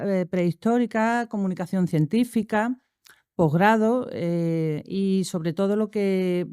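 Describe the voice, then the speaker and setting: A woman's voice: medium pitch, dark tone, smooth, dry recording, clean studio sound. A middle-aged woman moderating a conference panel, introducing speakers with many fillers and restarts.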